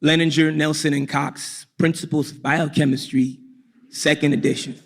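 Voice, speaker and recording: A man from New York telling a personal story on stage, deliberately and wryly, with dramatic pauses. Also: fairly high pitch, level tone, creaky. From New York, United States.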